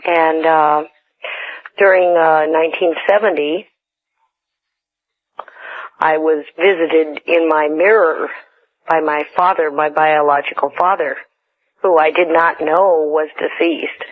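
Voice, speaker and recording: A woman's voice: sits low, fairly even intonation, slow, pauses often. Woman caller on a tinny phone line. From western United States. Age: middle-aged or older. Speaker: radio show caller. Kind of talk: telling a personal story. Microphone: telephone.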